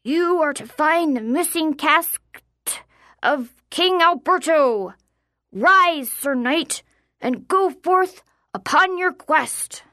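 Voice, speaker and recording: A woman voicing a boy who plays a solemn, commanding knight in a storybook, proclaiming slowly and grandly. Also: high-pitched, lively intonation, sharp tone, dry recording, voice pushed up, heavily acted. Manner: mock-grand, slow proclaiming.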